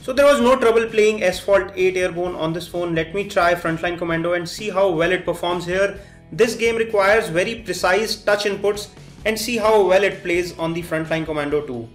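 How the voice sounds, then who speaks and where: High-pitched man reviewing tech. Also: moderate pace, soft background music. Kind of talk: phone review commentary over demonstration.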